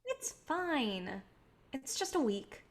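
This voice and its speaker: A woman's voice: sits high, very animated, takes it slowly, exaggerated voice. A woman playing an idealistic, patient filmmaker in a staged play reading, speaking in an earnest, coaxing way. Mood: placating.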